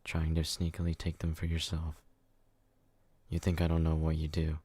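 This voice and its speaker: Man acting as a possessive yandere boyfriend, very deep flat murmur, dark tone, intense, clingy, ASMR roleplay. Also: normal speed, husky, no room sound. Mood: accusing. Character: obsessive, jealous, brutish male yandere lover, fiercely devoted.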